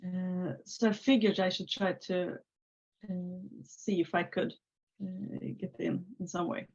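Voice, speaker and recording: A woman's voice: on the low side, measured pace, creaky, husky. Swedish-accented woman on a muffled call. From Sweden. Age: 30s. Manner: relaxed, many filler uhs and restarts. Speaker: guest. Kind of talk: casual interview talk. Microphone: video call. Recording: slightly muffled video call audio.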